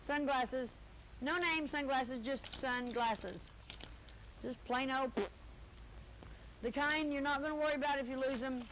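Lady in her 30s doing live cable tv sales patter, high bright voice, faint tape hiss behind her. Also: fairly even intonation, unhurried, long pauses, poor audio. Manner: chatty, joking asides to the crew. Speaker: local TV auction host. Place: cluttered indoor stockroom.

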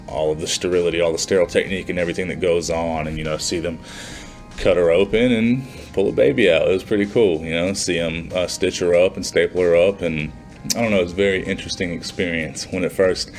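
A man in his twenties with a low-pitched voice talks in a chatty, informal way. He sounds like a student recording a casual vlog in a small room, with music softly present. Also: steady tone, quick pace. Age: 20s. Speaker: nursing student vlogger. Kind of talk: casual vlog talk to camera. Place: small plain indoor room.

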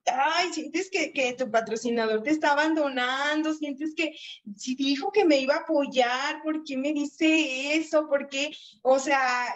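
Woman, late thirties, fairly high voice, chatty, rhetorical questions, muffled video call sound. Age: 30s. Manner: chatty, asks and answers her own questions. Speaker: network marketing trainer. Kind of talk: motivational training talk over video call.

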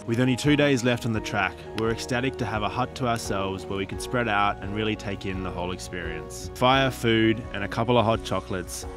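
Calm young man narrating. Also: lowish voice, a little fast, few pauses. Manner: calm, reflective storytelling. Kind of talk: scripted voice-over narration. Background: music.

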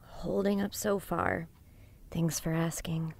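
A young adult woman playing a gentle, steady grown daughter in a horror audio drama. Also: fairly low pitch, slow, gravelly, heavily acted. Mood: subdued, casual.

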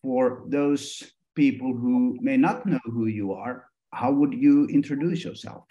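A middle-aged man from Finland, a foundation trustee, hosting a webinar on a video call in a measured way, with many pauses. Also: medium pitch, warm tone, lo-fi sound. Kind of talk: presenting and interviewing on a video call.

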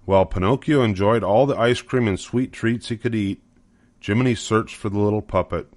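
An older man reads a children's story as its narrator. His voice sits fairly low and is recorded close and dry, with scripted storybook phrasing. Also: normal speed, a little mellow, no room sound.

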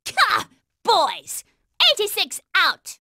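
Casting: a hot-headed kid girl commander for a cartoon game, very high, piercing and animated. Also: extremely slow, dry recording, studio quality, heavily acted. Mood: exasperated, angry. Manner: brash, shouty, commanding. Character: bossy, hot-tempered young girl kid operative. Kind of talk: cartoon video game acting.

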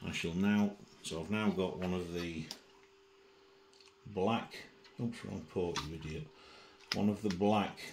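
A man giving an informal software demonstration as a tutorial presenter, methodical and thinking aloud, recorded indoors at a desk. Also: deep voice, creaky, reverberant, background noise.

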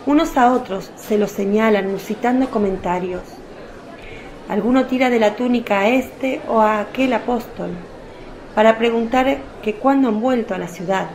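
A woman's voice: mid-range voice, darkish tone, low-quality recording. A woman narrating a radio drama as scripted storytelling.

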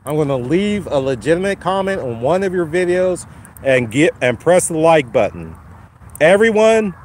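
A man hosting his first live stream from a phone while walking a dog outside, his voice slightly raspy from a cold, casually encouraging viewers. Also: a little high, very animated, normal speed, slight background noise. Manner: encouraging, repetitive, addresses viewers by name. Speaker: channel host. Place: outdoors on a lawn walking a dog. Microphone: phone held while walking.

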